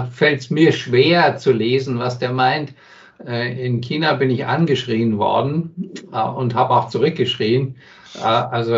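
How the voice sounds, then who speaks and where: A man with a gravelly voice explains his views as an interview guest, pausing often to search for words. He sounds set back from the microphone. The recording is a little dull. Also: medium pitch. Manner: thoughtful, frequent hesitation fillers and restarts. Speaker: industry consultant as interview guest. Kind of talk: conversational interview answers. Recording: dull sound from some distance.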